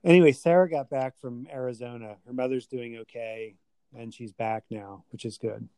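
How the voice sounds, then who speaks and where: A man's voice: medium pitch, steady tone, moderate pace, dark tone, smooth. A man hosting a casual podcast chat with a friend, tired after a long day and rambling with many fillers.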